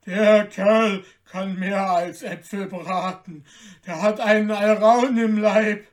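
A man acting out a huge old giant, gruff, booming, grumbling, very slow, gullible, storybook character. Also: fairly even intonation. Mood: grumbling, alarmed. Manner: slow, drawn-out, emphatic delivery.

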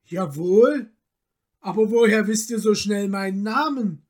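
A woman's voice: medium pitch, slow. A woman voicing an earnest, modest young craftsman from a storybook, with polite, formal, old-fashioned diction. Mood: eager, puzzled.

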